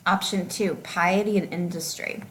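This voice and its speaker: A woman reading test questions and answer options aloud as a teacher, deliberately and with each option set clearly apart. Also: fairly low pitch, steady tone, fairly bright tone, slight background noise, rough recording.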